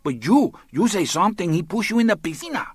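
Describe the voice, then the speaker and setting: A man's voice: high-pitched, very animated, quick pace, breathy edge, dry recording, voice pushed up, heavily acted. A man voicing a bitter, complaining young woman who speaks broken English, in a dry audiobook reading.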